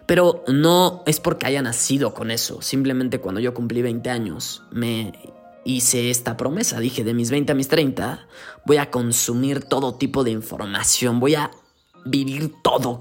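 An earnest young man with a Mexican Spanish accent speaks in a middle-pitched voice. He talks reflectively and motivationally, as a coach would, mixing in casual slang. Soft music plays faintly under his voice. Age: 20s. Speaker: podcast host and coach. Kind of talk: reflective solo podcast monologue.

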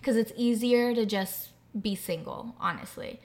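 A young adult woman speaking candidly as a podcast guest, halting often with fillers and restarts. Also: a little high, darkish tone. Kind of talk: candid conversational interview.

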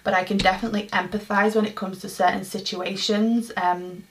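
A young woman speaks at an everyday mid pitch. She talks through listener questions in a chatty, earnest way, circling back with ums and false starts as she thinks aloud. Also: steady tone, brisk, slight background noise, rough recording. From Manchester, England. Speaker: podcast host. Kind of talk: casual solo Q&A monologue.

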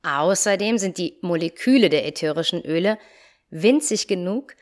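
A young woman hosting her own podcast as a yoga teacher and coach, talking in a calm, friendly way straight to the listener, her nose still a little stuffy from a cold. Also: fairly low pitch, normal speed. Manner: calm, friendly direct address. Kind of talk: solo podcast talk.

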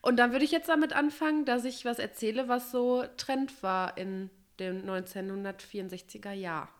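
A woman's voice: a little high, level tone, normal speed. A woman chatting casually and emphatically as a podcast co-host, a little stuffy from a cold.

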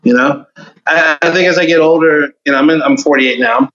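A man's voice: on the high side, fairly even intonation, fairly quick, a bit bright, lo-fi sound. A man in his forties, a guest on a casual podcast, talking candidly and in a laid-back way. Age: 40s.

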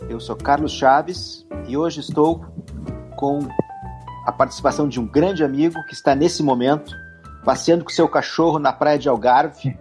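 A man's voice: a little high, normal speed, over music. A man with a Brazilian Portuguese accent hosting an interview in a friendly, chatty way, often restarting phrases. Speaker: host. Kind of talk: podcast interview.

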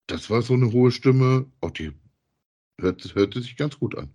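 A man's voice: mid-range voice, lively intonation, measured pace, warm tone, dull audio. A man co-hosting a podcast, chatting casually in a rambling, repetitive way as he reviews. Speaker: podcast co-host.

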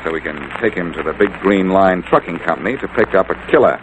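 Cocky wisecracking detective in an old radio play, low voice that moves around a lot, noisy old recording. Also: male voice, animated, fast talker. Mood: assured, explaining. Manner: wry, confident, needling. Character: cool, wisecracking amateur detective, sure of himself. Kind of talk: 1940s radio detective drama acting.

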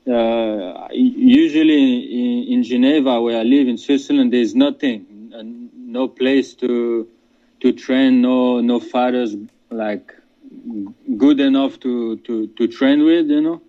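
Fighter on a dull line. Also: male voice, mid-range voice, steady tone. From France. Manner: halting, many restarts and fillers, casual slang. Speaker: MMA fighter. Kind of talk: interview answers, remote.

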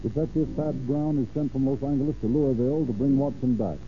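A man's voice: medium pitch, brisk. Tinny 1930s radio narrator, man. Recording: tinny, narrowband old radio recording with hum.

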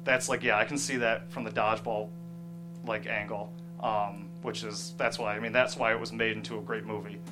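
Halting man over guitar music. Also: on the low side, level tone, poor audio. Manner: halting, searching for words. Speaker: screenwriter being interviewed. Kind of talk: casual, thoughtful interview talk.

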